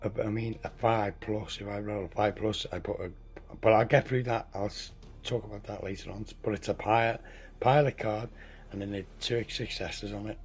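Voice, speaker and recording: A hoarse man getting over a cold walks through a tabletop game setup, halting, correcting himself and clearing his throat. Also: lowish voice, steady tone. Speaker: tabletop game tutorial host. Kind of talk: casual step-by-step game setup walkthrough.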